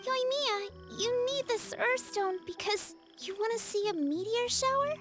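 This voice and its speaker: A woman voicing a gentle, earnest young girl in a video game, with a soft, childlike voice. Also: extremely high pitch, measured pace, music in the background. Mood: grateful, gentle. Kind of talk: video game character acting.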